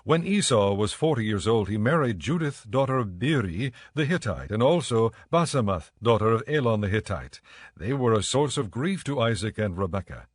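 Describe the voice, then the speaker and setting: A male narrator reads scripture formally and at a measured pace. His voice is mid-pitched and recorded very dry. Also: lively intonation, no echo.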